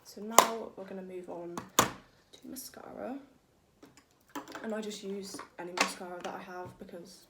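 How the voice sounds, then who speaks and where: A young woman with a croaky voice, chatting casually through a makeup tutorial and making fun of herself as she works. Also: mid-range voice, steady tone, a little slow. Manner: chatty, self-deprecating, talks while working. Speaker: beauty vlogger. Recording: echoey room with faint noise.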